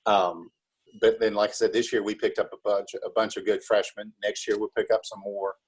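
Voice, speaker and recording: A man being interviewed as a school drama club director, explaining in a casual, halting way. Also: fairly low pitch, level tone, husky, poor audio.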